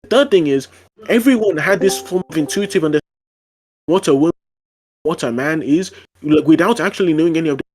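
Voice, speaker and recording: A man's voice: on the high side, fast, long pauses, a little mellow, faint music behind. A man in a casual online debate, arguing his point with frequent fillers and restarts. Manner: argumentative, lots of fillers and restarts.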